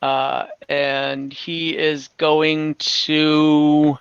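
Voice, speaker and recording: A man's voice: fairly high pitch, level tone, extremely slow, smooth, no echo, lo-fi sound. A man working as game master, narrating an online tabletop game casually and thinking aloud in a hesitant, improvised way. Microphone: online call microphone.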